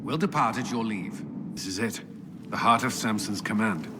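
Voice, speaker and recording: A man plays a dutiful fantasy army commander with a fairly low voice. He speaks in a measured, formal and clipped military way, earnest and serious about his duty. The sound has long reverb and noisy ambience, as in a video game. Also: lively intonation, lightly acted. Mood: grave, focused. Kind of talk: dramatic video game voice acting.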